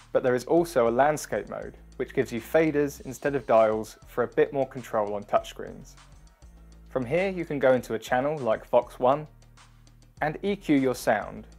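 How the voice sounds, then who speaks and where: A man in his thirties presenting a scripted product demonstration in a clear, instructional manner. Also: medium pitch, darkish tone. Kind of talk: scripted product review narration. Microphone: wireless lavalier or close studio mic. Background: background music.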